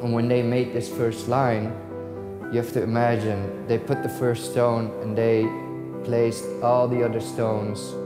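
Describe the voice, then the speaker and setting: A man's voice: medium pitch, level tone, unhurried, loud music behind the voice. A man from the Netherlands walking through his new shop, telling its story with enthusiasm and acting out his phone calls with the artists. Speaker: store owner giving a tour. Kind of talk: casual walkthrough narration. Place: inside a large shop.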